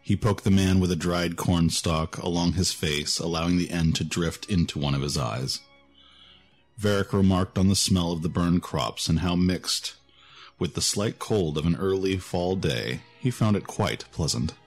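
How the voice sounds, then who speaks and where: Male narrator, low pitch, scripted storytelling, music bed. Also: moderate pace.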